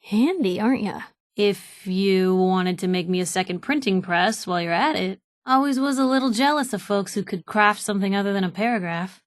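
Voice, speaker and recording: A woman playing a sassy, warm-hearted young reporter and loyal companion in a video game, talking in wry, breezy, clipped slang. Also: medium pitch, normal speed, dry recording, clean studio sound, natural voice. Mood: wry, teasing. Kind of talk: game voice lines, short reactive barks.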